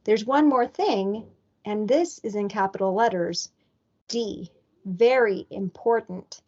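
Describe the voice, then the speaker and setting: Woman reading as bossy young storybook sister, slow-spoken. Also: medium pitch, darkish tone. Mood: bossy, instructive. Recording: dull lo-fi recording.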